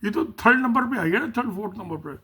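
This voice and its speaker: Husky high-voiced man musing aloud. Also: expressive, fast, sharp tone. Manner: musing, repetitive, rhetorical questions. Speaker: political commentator. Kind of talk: casual spoken commentary.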